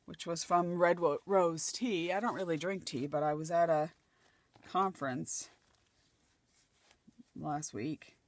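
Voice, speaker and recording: A middle-aged woman from Nova Scotia, chatting casually as she works on a craft project, her voice slightly croaky and dry. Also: on the low side, slow, long pauses. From Nova Scotia, Canada. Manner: chatty, thinking aloud while gluing collage pieces. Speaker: craft channel host.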